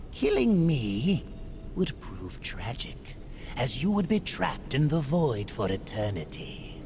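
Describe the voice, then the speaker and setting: Sly demon trader, greedy and theatrical, unhurried with huge pitch swings, light noise behind. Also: male voice, darkish tone. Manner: theatrical, menacing, drops into whispers. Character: sly, greedy soul-eating demon merchant. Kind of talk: video game cutscene acting.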